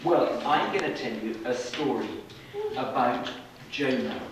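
A middle-aged man telling a Bible story to young children in an animated way, pausing and putting questions to them. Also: mid-range voice. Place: children's classroom with seated young audience. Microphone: distant camera at back of room. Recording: echoey, noisy room recorded from far off.

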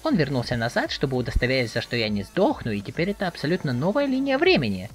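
A woman's voice: very deep voice, fast talker, faint music behind, exaggerated voice. A woman playing an eager, naive teenage boy, chatty and inquisitive, in a dramatic webcomic reading. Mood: puzzled. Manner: excitable, inquisitive, expressive.

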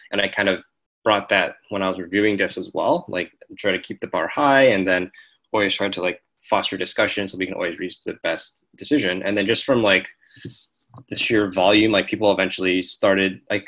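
A man's voice: deep voice, rough recording. A young man on a video call with earphones, speaking casually as a panelist and filling his talk with "like" and "um". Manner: relaxed, filler-heavy, says "like" constantly. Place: small bright room at home. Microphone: earphones headset on video call.

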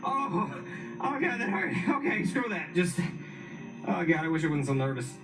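A man's voice: a little high, sing-song, normal speed, distant mic, over music, rough recording. A man voicing a nervous, clumsy police officer who stammers and panics. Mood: panicky.

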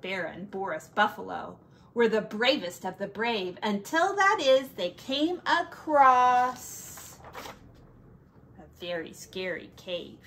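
A woman teacher reading a picture book aloud to young children, with drawn-out emphasis and playful asides. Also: medium pitch, lively intonation, fairly bright tone, a little hiss or hum.

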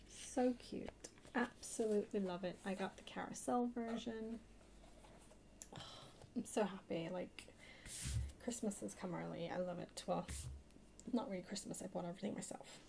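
A soft-spoken woman chatting casually in a rambling way for an ASMR haul video. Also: medium pitch. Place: indoors at a table, hands-only camera. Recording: clear background hiss or noise.

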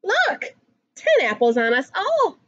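High-pitched, animated young woman reading aloud. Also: slow, lo-fi sound. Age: young adult. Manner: animated, singsong rhyming delivery. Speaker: children's story reader. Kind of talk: expressive picture book read-aloud.

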